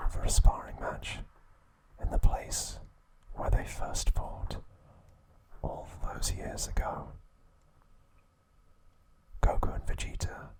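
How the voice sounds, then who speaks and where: A man hosting an ASMR channel, whispering trivia softly and gently. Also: poor audio. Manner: soft unvoiced whisper, slow and gentle.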